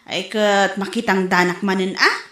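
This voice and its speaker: A woman's voice: medium pitch, fairly even intonation, normal speed, pushed and bright, lightly acted. A woman playing a hardworking, patient younger sister and young family's mother, speaking earnestly in a comedy audio drama. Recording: echoey, roomy sound.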